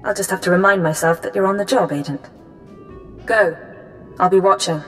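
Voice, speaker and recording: An actress playing a cool, businesslike female intelligence handler in a video game, giving briefings with crisp control. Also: fairly low pitch, fairly quick, lightly acted. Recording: music under the voice.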